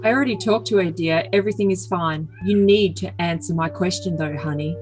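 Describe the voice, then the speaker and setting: A woman's voice: on the low side, brisk, lightly acted, low-quality recording. A woman voicing an earnest, besotted young man with a solemn, stilted manner in an overly dramatic comic message reading. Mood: earnest, concerned. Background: music.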